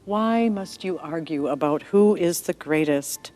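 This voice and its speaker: A woman voicing Jesus as a gentle, kind grown man speaking tenderly in a calm storybook reading. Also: low-pitched, a little slow, soft background music.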